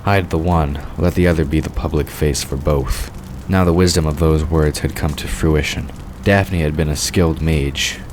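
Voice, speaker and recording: A man's voice: a bass voice, steady tone, moderate pace, darkish tone, a little hiss or hum. A man narrating a scripted fiction story as the narrator of an audio drama.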